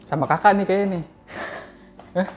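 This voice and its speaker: A woman's voice: on the low side, moderate pace, a little mellow. A woman hosting a casual podcast interview, teasing her guest playfully and laughing along. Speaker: podcast host.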